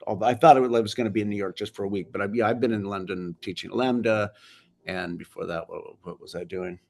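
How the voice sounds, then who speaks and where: Gravelly-voiced older American man, rambling. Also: on the low side, fairly even intonation, fast, darkish tone. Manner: rambling, restarts sentences often. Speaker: film director guest. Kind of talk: casual interview chat.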